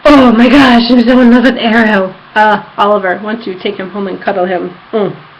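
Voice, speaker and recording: A woman's voice: medium pitch, steady tone, normal speed. A woman chatting casually in a rambling personal vlog recorded at home, with a giddy fangirl enthusiasm. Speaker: vlogger. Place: apartment room. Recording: dull lo-fi home recording, background noise.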